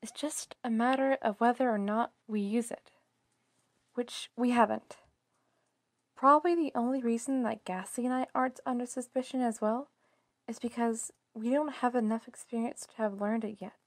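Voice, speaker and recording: A woman's voice: on the high side, steady tone, moderate pace, no room sound, clean studio sound. A woman voicing a frank, plain-spoken young Pokémon who sticks by friends, in a fan audio drama.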